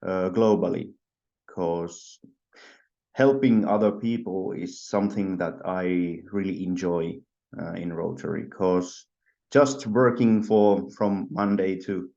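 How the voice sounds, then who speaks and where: Man from Finland, very low voice, gravelly, hesitant with fillers, dull lo-fi call sound. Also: takes it slowly, dark tone. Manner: hesitant, many fillers and restarts. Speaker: speaker. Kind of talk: personal talk on video call.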